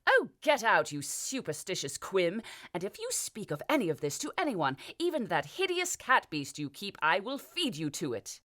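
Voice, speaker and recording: A woman doing a shrill, hysterical young king. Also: huge pitch swings, moderate pace, hardly any pauses, fairly bright tone. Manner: shrill, whiny, hysterical outbursts. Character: petulant, childish, panicky young king.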